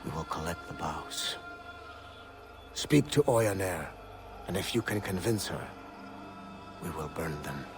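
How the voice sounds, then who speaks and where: A man's voice: sits low, lively intonation, a little slow, pauses often. A man playing a dutiful, resolute Native village warrior in a video game, speaking firmly and formally. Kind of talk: dramatic video game acting. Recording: light music behind the voice.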